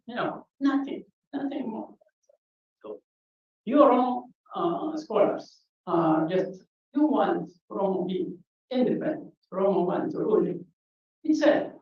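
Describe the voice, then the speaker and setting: A woman from Ethiopia with an Ethiopian accent, giving an unscripted conference talk in a halting way, full of restarts and fillers. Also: mid-range voice. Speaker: conference speaker. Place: conference hall. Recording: muffled, echoey, distant from the mic.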